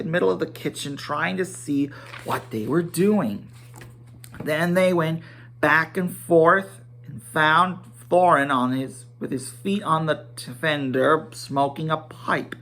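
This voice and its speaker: A man giving a dramatic storybook reading, halting at times and correcting himself as he narrates. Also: a little high, a bit bright. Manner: halting, stumbling over words and correcting himself.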